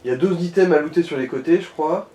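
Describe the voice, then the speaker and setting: A man's voice: fairly high pitch, fast, warm tone, echoey room. A man giving casual gaming advice in a slangy, sometimes crude and hesitant way. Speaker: co-commentator advising the player. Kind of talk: casual gaming chat with tips.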